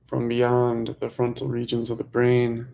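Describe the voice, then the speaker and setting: A man leading a guided meditation as a teacher, speaking slowly and softly in short phrases with long pauses. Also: mid-range voice, completely flat delivery, creaky, a little hiss or hum. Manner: slow, hushed, long pauses between phrases.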